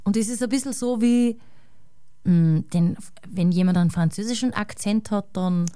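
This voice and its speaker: A woman with a Salzburg Austrian German accent talks in a relaxed, chatty way, circling her thoughts with fillers and tag questions. Her voice is smooth and resonant with a dark, warm tone, recorded close and dry. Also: mid-range voice, moderate pace, no room sound. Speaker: guest musician. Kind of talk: casual conversation.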